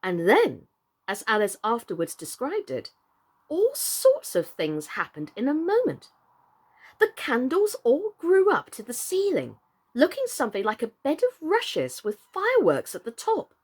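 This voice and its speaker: A woman reading a children's story aloud in a theatrical, expressive way. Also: sits high, unhurried, husky. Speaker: storyteller, actress. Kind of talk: animated children's story narration.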